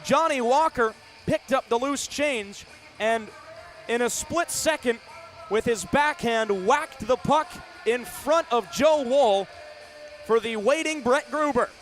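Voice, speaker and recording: A man's voice: very high-pitched, slow, a bit bright, soft background music. A man in his twenties doing live radio play-by-play of a hockey game in clipped, vivid running narration. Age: 20s. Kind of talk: live radio sports commentary.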